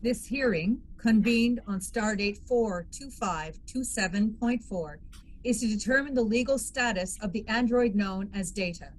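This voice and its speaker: A woman reading the part of a formal, even-handed military judge in a sci-fi courtroom table read. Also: medium pitch, unhurried, a little hiss or hum, natural voice, low-quality recording.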